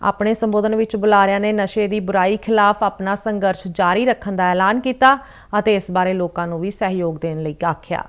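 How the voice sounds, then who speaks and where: A woman reading a regional television news bulletin in a steady, formal way. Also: mid-range voice, normal speed, clean tone. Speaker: news reader.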